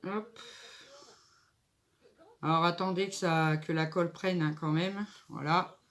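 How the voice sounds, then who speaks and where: A woman's voice: on the low side, fairly even intonation, slow, fairly bright tone. A woman with a cold and a nasal voice, chatting casually as she makes a table decoration and thinks aloud. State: stuffy nose from a cold. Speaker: craft vlogger. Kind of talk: casual crafting tutorial.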